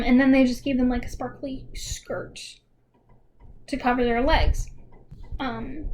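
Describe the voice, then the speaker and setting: A woman's voice: high-pitched, a little mellow, slight background noise, low-quality recording. A young woman giving a casual video essay commentary in a chatty, informal way with dry, joking asides. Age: young adult.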